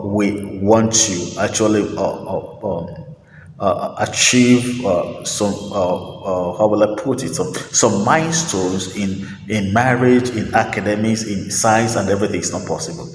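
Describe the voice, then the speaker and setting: A man hosting a talk, speaking his opinions in an emphatic, preachy monologue, repeating phrases and pausing on fillers. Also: lowish voice, slight background noise. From Nigeria. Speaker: host. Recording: roomy echo with long reverb, faint ambience.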